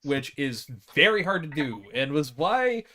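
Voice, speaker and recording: Animated, bright-voiced man chatting. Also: a little high, unhurried. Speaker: podcast panelist. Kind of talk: casual movie chat.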